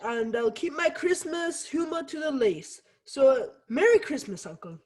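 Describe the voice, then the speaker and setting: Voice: high voice, big pitch swings, moderate pace, a little mellow. Young amateur as an earnest, cheerful nephew. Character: cheerful, kind young nephew who loves Christmas. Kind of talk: amateur readers' theatre acting.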